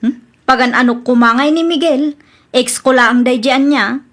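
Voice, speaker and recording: A woman's voice: high-pitched, measured pace, a bit bright. A woman playing an earnest, easily exasperated young engaged woman in an Ilocano Filipino radio comedy drama. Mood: coy, dismissive.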